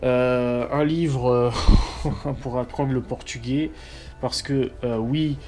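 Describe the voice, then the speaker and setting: A man's voice: medium pitch, fairly even intonation, slow, a little mellow. Casual, sweary man vlogging. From southwestern France. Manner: slangy, sweary, informal. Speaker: car vlogger. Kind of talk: casual chat to camera.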